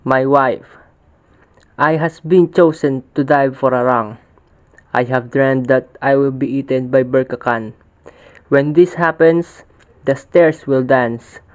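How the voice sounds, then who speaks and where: A man playing a young, solemn epic hero and suitor in readers' theater, with formal, deliberate delivery. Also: mid-range voice, fairly even intonation, a little mellow, dull audio, natural voice. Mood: foreboding.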